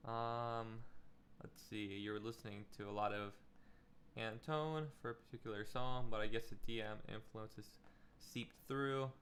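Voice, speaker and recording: A man's voice: on the low side, steady tone, a little slow, fairly bright tone, slight background noise. A man running a casual livestream feedback session as a music production instructor, talking loosely with lots of "like" and "um" and giving encouraging advice. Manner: loose and chatty, full of "like" and "um" fillers; encouraging, gives friendly advice.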